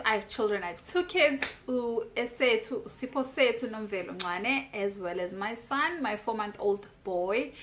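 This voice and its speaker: A woman's voice: mid-range voice, fairly bright tone. A South African woman in her thirties, chatting casually as she reads out questions and answers them in a vlog. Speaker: YouTuber. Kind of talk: casual get-to-know-me vlog.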